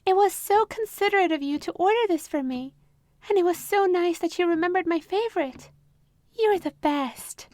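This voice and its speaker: A woman voicing a manipulative, possessive female therapist who talks in a honeyed, calmly controlling way, in an ASMR roleplay recorded close to the mic. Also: very high-pitched, moderate pace, no room sound. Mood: flirty, sweet. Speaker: voice actress.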